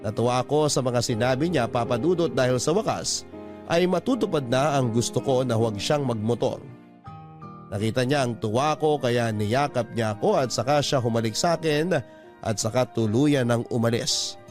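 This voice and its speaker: A man hosts a radio drama, narrating in a sentimental and solemn way. His voice is medium in pitch. Soft music plays underneath. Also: normal speed. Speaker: radio host reading listener letters. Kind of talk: dramatic scripted story narration. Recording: music bed behind narration.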